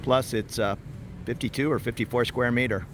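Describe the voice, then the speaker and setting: Man, casual street vlog, rambling chatter, mid-pitched voice, loud traffic behind. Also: fairly even intonation, moderate pace. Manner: rambling, chatty, many fillers. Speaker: expat vlogger. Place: outdoors on a city street.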